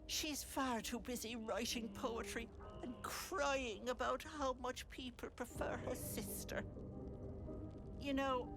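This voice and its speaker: A woman's voice: on the high side, exaggerated voice. An actress playing a cruel, wheedling old hag in a video game, folksy and scolding, with menace in her endearments. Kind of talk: video game character acting. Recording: game music behind the voice.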